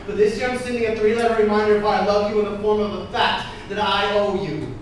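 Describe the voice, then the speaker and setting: High-pitched man performing spoken word, very echoey room. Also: steady tone, quick pace, far from the mic. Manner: impassioned, emphatic, rhythmic delivery. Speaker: slam poet. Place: indoor poetry slam venue. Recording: echoey room from afar, faint noise.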